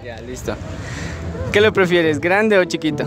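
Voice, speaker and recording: A man's voice: on the high side, expressive, unhurried. A young man with an Ecuadorian Spanish accent, asking teasing questions in a casual street interview. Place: outdoor city street. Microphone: phone held out as a mic. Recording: music playing over the street sound.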